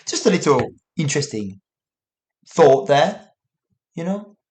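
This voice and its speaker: A man's voice: on the high side, sing-song, unhurried, darkish tone. Chatty male English-teaching podcast host. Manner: chatty, many "you know" asides. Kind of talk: solo educational podcast talk.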